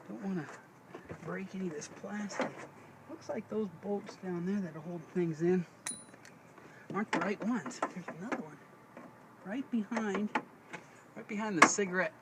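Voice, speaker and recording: A middle-aged man giving a casual hands-on how-to, thinking aloud in a folksy way as he works inside a vehicle. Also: high voice. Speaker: DIY demonstrator. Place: inside a parked Jeep. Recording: noisy handheld recording in a vehicle.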